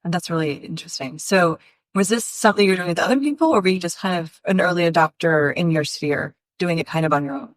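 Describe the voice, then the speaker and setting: A woman's voice: lowish voice, quick pace, no room sound. A woman hosting an interview, talking enthusiastically and often restarting her sentences mid-thought. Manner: enthusiastic, rambling, with frequent restarts. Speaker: host. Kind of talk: casual interview chat.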